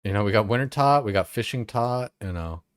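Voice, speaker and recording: A man's voice: lowish voice, expressive, a little fast, clear, resonant tone, dry recording, clean studio sound. A young man streaming a game, chatting casually in a rambling way, full of fillers and swearing. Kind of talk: casual chat while playing.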